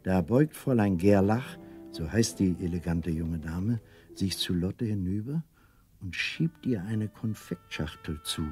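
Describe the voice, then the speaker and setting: A man's voice: low-pitched, lively intonation, unhurried, dark tone, faint music behind. A man narrating a scripted children's radio play as a storyteller.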